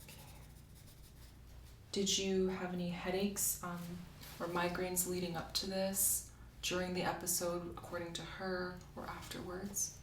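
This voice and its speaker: A soft-spoken woman doing an ASMR medical roleplay as a doctor, asking methodical questions in a reassuring way. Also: lowish voice, completely flat delivery, unhurried. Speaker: ASMR creator playing a doctor. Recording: roomy, distant mic, faint hiss.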